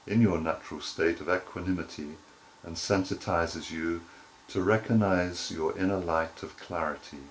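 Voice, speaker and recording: A man with a deep, low voice gives guided relaxation instruction as a yoga nidra teacher. He speaks in measured, deliberate phrases, pausing briefly between them. There is some faint background noise in the recording. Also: low-quality recording.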